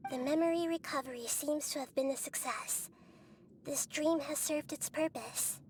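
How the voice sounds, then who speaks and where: High-voiced, serene young goddess played by a woman. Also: slight background noise, close to an everyday voice. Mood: calm, welcoming. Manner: measured, gentle, earnest explaining. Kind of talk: video game voice acting.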